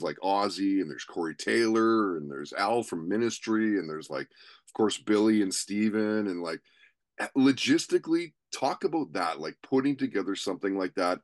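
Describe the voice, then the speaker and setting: A Canadian man in his forties hosting a podcast, laid-back and swearing freely, with lots of fillers, on a studio mic at home. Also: fairly low pitch. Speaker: podcast host. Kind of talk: casual interview chat. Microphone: studio mic on a stand.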